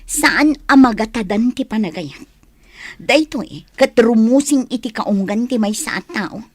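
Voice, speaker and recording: A woman's voice: a little high, animated, measured pace, dry recording, studio quality. A woman playing an earnest, stubborn young adult daughter in a Filipino radio drama. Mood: defiant.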